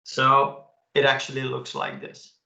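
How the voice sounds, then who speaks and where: Gravelly man demoing software. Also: medium pitch, monotone, a little slow. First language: Dutch. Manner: casual, enthusiastic, frequent "uh" fillers. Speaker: webinar presenter. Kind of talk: live software demo walkthrough. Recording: roomy echo.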